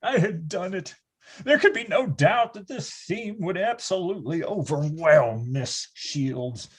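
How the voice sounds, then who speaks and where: Male actor as wry grown-up recalling boyhood in readers theatre: theatrical, very animated, slightly rough high voice. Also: unhurried, exaggerated voice. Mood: triumphant. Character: grown man wryly recalling his boyhood, grandiose and dramatic. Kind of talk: readers theatre first-person storytelling.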